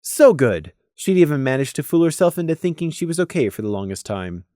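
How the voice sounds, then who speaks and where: Expressive story narrator with a dry, close sound. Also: mid-range voice, a little fast, no echo. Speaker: audiobook narrator.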